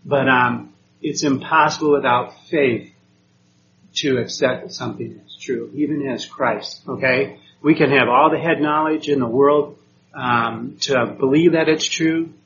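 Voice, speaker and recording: Halting man lecturing a class. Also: mid-range voice, muffled recording, noisy background. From Puerto Rico. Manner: hesitant, many ums, checks with "okay?". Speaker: teacher.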